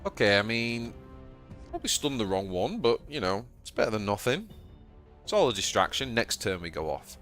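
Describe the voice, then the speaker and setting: Excitable Yorkshire man streaming a game. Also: normal speed, soft background music. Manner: excitable, bursts into high yelps at surprises. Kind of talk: live gameplay commentary.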